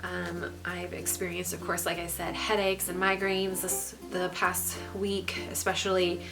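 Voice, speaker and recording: A woman's voice: fairly low pitch, a little slow, creaky, rough recording. Congested young woman with music underneath. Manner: frequent ums, relaxed and candid. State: congested, stuffy nose. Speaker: pregnancy vlogger. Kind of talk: casual vlog update.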